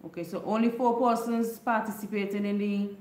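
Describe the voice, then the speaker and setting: A woman's voice: medium pitch, flat delivery, a little fast, a little mellow, roomy sound, poor audio. A middle-aged woman from Grenada with a Grenadian Creole English accent, hosting a casual livestream chat from her living room and telling stories to her viewers. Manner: chatty, retelling a folk story, reading viewer comments. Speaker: livestream host. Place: home living room with neon lights. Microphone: desk microphone on stand.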